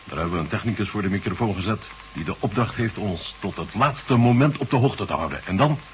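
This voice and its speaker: Calm, authoritative marshal, a man acting him. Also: on the low side, a little fast, a little hiss or hum, lightly acted. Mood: measured. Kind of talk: 1980s radio play acting.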